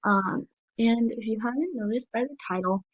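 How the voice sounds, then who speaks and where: A tired woman with a dry throat, hosting a casual gaming video in a soft, hesitant, apologetic way with many pauses and ums. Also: a little high, noisy background. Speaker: gaming channel host. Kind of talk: casual game commentary. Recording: dull lo-fi mic.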